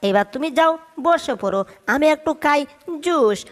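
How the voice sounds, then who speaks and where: A performer voicing an excitable, boastful male cartoon cat in a comedy dub, exclaiming and narrating his own antics. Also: high-pitched, normal speed. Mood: smug.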